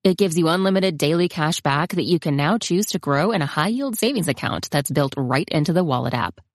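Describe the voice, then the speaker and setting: Low, lively female ad voice, dry. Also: brisk, no room sound. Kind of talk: scripted ad read.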